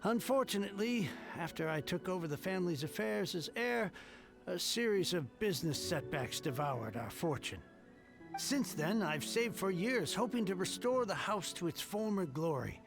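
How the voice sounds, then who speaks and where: A weary merchant heir from a game speaks with earnest gratitude and grumbles about the young. His voice is high for a man and gravelly, with faint music behind. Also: lively intonation, normal speed. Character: grateful, weary middle-aged merchant heir.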